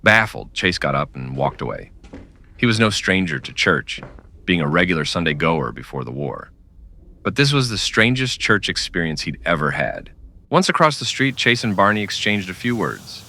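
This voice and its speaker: A man narrating a scripted western audio drama as a dramatic, measured storyteller. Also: low voice, big pitch swings, vocal fry, pushed and bright.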